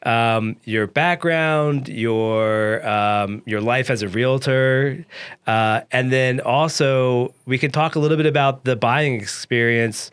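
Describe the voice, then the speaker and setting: An American man hosts a casual interview, asking his questions conversationally. His voice is smooth and clean at a medium pitch. The sound is dry and close, with little room in it. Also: slow pace, fairly bright tone, no room sound. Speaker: interview host.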